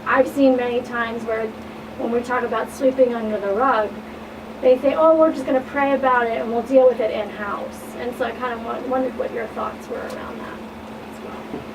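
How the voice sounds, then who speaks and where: A woman's voice: a little high, fairly even intonation, moderate pace. A woman panelist asking questions in a casual, conversational way. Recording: distant mic, clearly noisy room.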